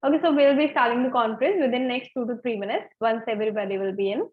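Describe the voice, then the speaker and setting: A woman's voice: on the high side, fairly even intonation, fast talker. Polite woman moderating, dull call audio. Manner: polite, formal, addresses guests as ma'am. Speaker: conference moderator. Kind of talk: moderating an online conference. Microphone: video call.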